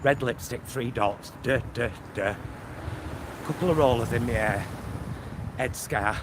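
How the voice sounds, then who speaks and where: Middle-aged man, Greater Manchester accent, slowish pace, campy casual rambling, phone selfie vlog, very noisy street outdoors. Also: mid-range voice. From England. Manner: chatty, rambling, campy endearments. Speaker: vlogger. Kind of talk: casual chat to camera while walking. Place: outdoors, walking along a suburban road. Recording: loud outdoor street and traffic noise.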